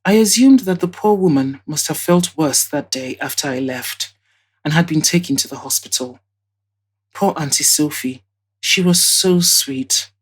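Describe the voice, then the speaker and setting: A woman narrates a first-person story from a script. Her voice sits very low for a woman and has a clearly husky grain. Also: a little slow.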